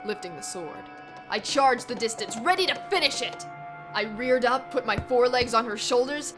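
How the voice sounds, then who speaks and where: A woman giving an intense, theatrical first-person reading of a story. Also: medium pitch. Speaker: amateur fan fiction reader. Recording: music bed behind the voice.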